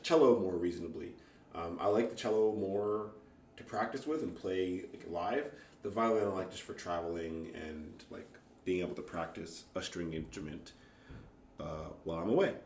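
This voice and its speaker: A man's voice: sits low, normal speed, darkish tone, slight background noise. Self-deprecating man chatting in an echoey room. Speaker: amateur musician. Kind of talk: casual, rambling chat between songs.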